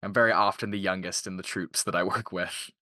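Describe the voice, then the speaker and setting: A man's voice: sits low, fairly even intonation, fairly quick, bright, forceful tone, no room sound, studio quality. A man of twenty-two from Canada chatting casually as a voice actor in an interview.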